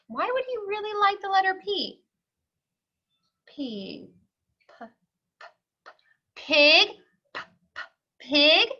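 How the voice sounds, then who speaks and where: A woman reading a storybook aloud to young children, expressive and singsong, pausing to explain and ask questions. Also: on the high side, highs cut off.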